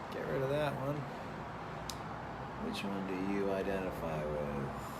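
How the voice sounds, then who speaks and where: A man's voice: medium pitch, vocal fry. A man hosting a casual live stream, chatting loosely and profanely, reading out viewer names and rambling. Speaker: host of a live stream show. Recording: noticeable background noise.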